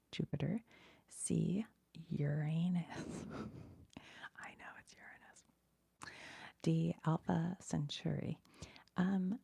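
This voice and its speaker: A woman whispering as an ASMR streamer, reading trivia aloud in a hushed, breathy voice and musing casually as she goes. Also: sits low, no echo.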